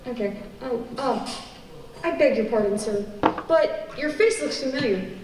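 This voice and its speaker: A woman's voice: fairly high pitch, lively intonation, a little slow, noisy background. A woman acting a polite, curious server taking an order in a radio play. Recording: very echoey, distant mic.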